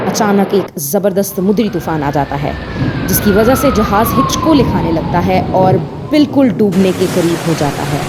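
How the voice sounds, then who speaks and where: A woman narrating a scripted audio story, expressively addressing her listeners as a storyteller. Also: lowish voice.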